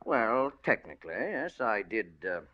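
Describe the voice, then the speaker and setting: Suave, menacing old radio villain. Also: male voice, lowish voice, big pitch swings. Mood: suavely menacing. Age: older. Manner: genteel, mock-polite, unhurried menace. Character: smooth, menacing old male extortionist and killer. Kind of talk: 1940s radio thriller acting. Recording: dull vintage radio broadcast.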